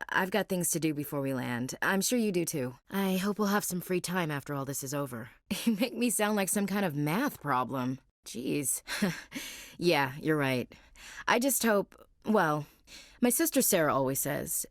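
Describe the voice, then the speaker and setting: A woman's voice: low-pitched, no room sound, clean studio sound. An actress voicing a proud, guarded young woman marine in a video game, blunt and wry with a clipped military way of talking. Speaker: game character dialogue. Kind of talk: studio game voice acting.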